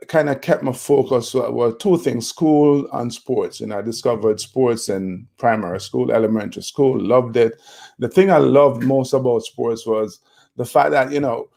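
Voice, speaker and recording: A Jamaican man in his fifties, a motivational speaker, telling his life story in a relaxed interview with many fillers and restarts. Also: medium pitch, creaky. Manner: reflective, with frequent fillers and restarts.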